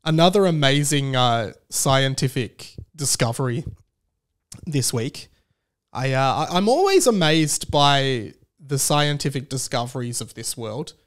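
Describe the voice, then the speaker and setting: A man hosting a casual comedic solo podcast, rambling with stammered restarts and animated, incredulous emphasis. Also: medium pitch, a little slow. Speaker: podcast host.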